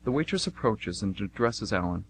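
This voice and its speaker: A man's voice: fairly low pitch, expressive, moderate pace, slight background noise. A man hosting an amateur radio theater show and reading its scripted narration.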